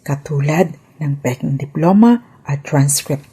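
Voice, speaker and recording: A woman's voice: sits low, slow, warm tone, breathy edge. A woman with a Filipino accent giving a formal, scripted legal explanation as a host, stressing key points as she goes.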